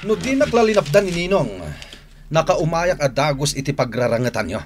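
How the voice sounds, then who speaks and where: Actor as animated, earnest Ilocano young man. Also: a little high, a little fast, crowd noise, natural voice. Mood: troubled. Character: earnest, troubled younger man, son of a boss. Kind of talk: Ilocano radio drama acting.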